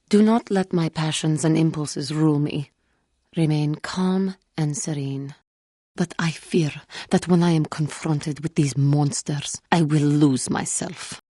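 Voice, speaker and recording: A woman playing a wounded, earnest female alien Jedi in a video game, speaking in formal, measured phrases. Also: low voice, warm tone, slightly rough, no echo, studio quality, full character voice. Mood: troubled, grave. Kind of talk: scripted video game character dialogue.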